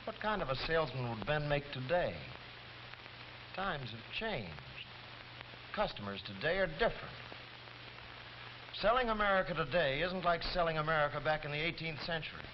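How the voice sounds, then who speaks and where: An actor playing a brisk, skeptical salesman in a 1930s training film, speaking in a probing, matter-of-fact way. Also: on the high side, animated, close to an everyday voice. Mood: skeptical, curious. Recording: dull, noisy 1930s film soundtrack.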